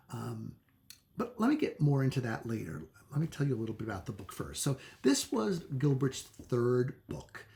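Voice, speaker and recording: A man with a creaky voice hosting a casual book review, speaking in a halting, reflective way and stressing key words. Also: medium pitch, a little mellow. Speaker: host of a book review channel.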